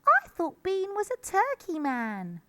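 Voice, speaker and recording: A very high, bright little fox cub played by a woman for a children's storybook. He is young, eager and easily amazed, exclaiming in gasps with pitch that leaps about. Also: wildly swooping pitch, slow, slight background noise, heavily acted. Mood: puzzled, eager. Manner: gasping, exclaiming. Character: excitable small young boy fox.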